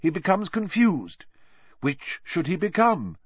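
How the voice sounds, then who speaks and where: A man voicing a cheerful, thoughtful teacher of magic in an audiobook, with a deliberate, encouraging manner. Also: high voice, big pitch swings, breathy edge, dry recording, muffled recording, pitched above the performer's own voice, heavily acted. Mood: brisk, instructive. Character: cheerful, reassuring, thoughtful male schoolteacher of magic. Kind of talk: audiobook character reading.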